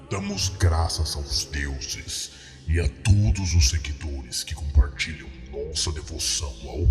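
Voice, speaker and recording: A man with a Brazilian accent playing a solemn, sinister cult priest, intoning slowly and ceremonially in a breathy voice for a horror story. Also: extremely low pitch, voice pushed down. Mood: reverent, grave. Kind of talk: scripted horror roleplay monologue. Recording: echoing, with music underneath. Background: music.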